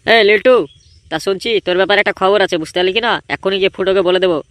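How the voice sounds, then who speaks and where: A man's voice: sits high, fairly even intonation, racing, a bit bright, clean tone, no echo. A man voicing a brash, dismissive village boy in a comedy cartoon, blunt and pushy.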